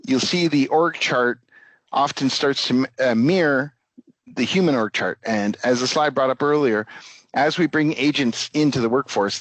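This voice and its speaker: A man presenting business technology as a company executive, explaining step by step in a halting way with pauses mid-phrase. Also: mid-range voice, no room sound, poor audio. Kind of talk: business presentation with product demo pitch.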